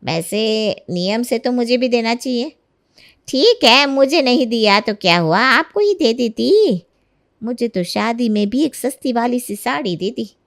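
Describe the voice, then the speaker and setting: A woman's voice: on the high side, lively intonation, unhurried. A woman voicing a jealous, sarcastic middle-aged aunt who grumbles pettily in an acted storybook tale. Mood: bitter griping. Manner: sarcastic, complaining. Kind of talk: acted Hindi storybook narration.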